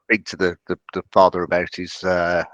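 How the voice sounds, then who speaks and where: A man with a fairly low voice describes his character's actions in a tabletop game. He searches for words as he goes, recorded dry and close. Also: monotone, normal speed, fairly bright tone, dry recording, muffled recording.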